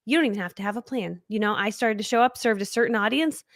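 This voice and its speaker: A woman's voice: fairly high pitch, quick pace, no echo, studio quality. A woman guest in a casual interview, chatting in a bubbly way with frequent laughs and fillers. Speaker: guest speaker.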